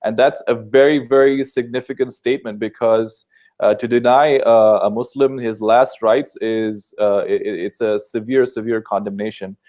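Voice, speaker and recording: A man's voice: on the low side, fairly even intonation, normal speed, a little mellow. A man from Sri Lanka answering interview questions in an earnest, explanatory way, with many filler sounds. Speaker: guest answering questions about Islam.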